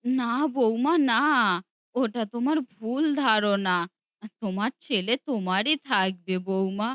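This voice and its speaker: A woman voicing a gentle, loving old grandmother in a cartoon, speaking softly and pleading. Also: fairly high pitch, measured pace, no room sound, studio quality. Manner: soft, pleading.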